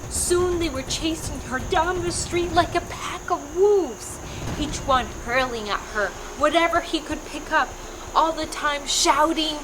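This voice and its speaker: A woman's voice: high-pitched, noisy background. A woman storyteller narrating a scripted story for children. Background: music.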